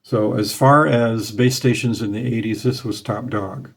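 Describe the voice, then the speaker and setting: A man's voice: medium pitch, fairly even intonation, measured pace, vocal fry. A middle-aged man giving a casual, knowledgeable talk over slides from a small indoor room, with frequent fillers. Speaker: radio hobby host.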